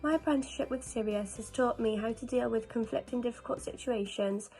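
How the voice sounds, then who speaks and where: A woman's voice: a little high, brisk, a little mellow, faint music behind, poor audio. A woman giving an earnest, flowing prepared testimonial as a nursery nurse apprentice.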